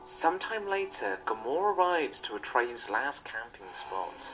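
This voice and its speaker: A man's voice: mid-range voice, big pitch swings, unhurried, fairly bright tone, rough recording. Male narrator over prominent music. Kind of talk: scripted narration.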